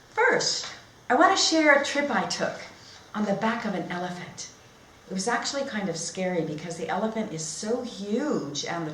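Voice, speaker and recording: A woman reads a children's story aloud as a teacher, at a slow and careful pace. She keeps her phrases short and simple and often turns to questions for her listeners. The room is echoey, with noticeable background noise. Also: mid-range voice, fairly bright tone. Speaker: teacher demonstrating read-aloud techniques. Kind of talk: storybook read-aloud lesson for young learners.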